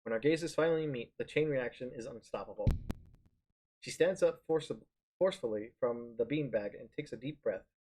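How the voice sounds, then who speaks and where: A man reading visual novel narration aloud in a live stream, halting and correcting himself as he goes. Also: medium pitch, a little mellow, breathy edge, poor audio. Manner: halting, stumbles and corrects words. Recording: music behind the voice.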